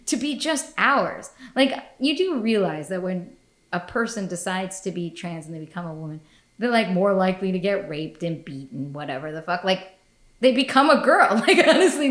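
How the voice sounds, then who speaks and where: A woman hosting a podcast in casual chat, blunt and profane, laughing often. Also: mid-range voice. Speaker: podcast host. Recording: echoey room.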